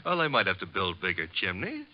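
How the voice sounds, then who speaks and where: An actor with an American accent playing a breezy, flippant, wisecracking detective's assistant in an old-time radio drama. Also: male voice, deep voice, huge pitch swings, a little fast. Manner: glib, flippant. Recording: dull, faintly noisy vintage radio recording.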